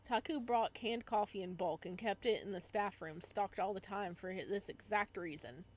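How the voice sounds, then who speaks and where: A woman's voice: mid-range voice, moderate pace. A woman hosting a playthrough, reading visual novel narration aloud expressively, with casual asides.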